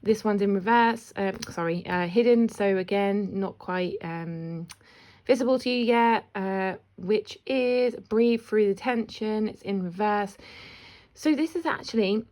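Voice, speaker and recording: A woman with a slightly croaky voice gives a casual spiritual card reading, chatty and self-deprecating. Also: mid-range voice, unhurried. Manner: chatty, warm asides, often laughs at herself. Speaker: intuitive card reader.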